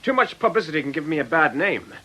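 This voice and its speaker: An actor playing a chatty, affable but wary club owner in a radio drama, with a teasing, colloquial manner. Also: male voice, on the high side, very animated, fast, bright, forceful tone, breathy edge, exaggerated voice. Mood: grumbling. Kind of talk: British radio drama acting.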